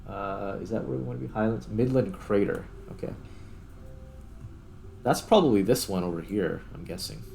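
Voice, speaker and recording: A man's voice: lowish voice, expressive, normal speed, gravelly, dark tone, faint music behind. A man giving casual gameplay commentary, thinking aloud in a tentative, self-questioning way as he narrates each step. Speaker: gaming video host.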